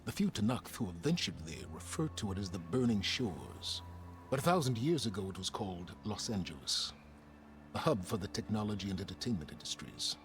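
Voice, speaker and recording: A scholarly, secretive adviser in a video game. His voice sits low and his phrasing is precise and formal, like careful exposition. Music plays clearly behind him. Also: big pitch swings, fairly quick. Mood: measured, explanatory. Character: measured, erudite man, secretive scholarly mission adviser. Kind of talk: video game dialogue acting.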